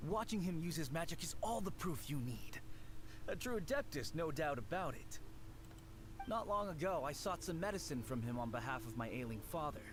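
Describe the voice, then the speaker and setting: Gullible devoted son, male actor, earnest, slightly high voice, music behind. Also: animated, normal speed, background noise, lightly acted. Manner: earnest, trusting. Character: hopeful young man with an ailing father, gullible.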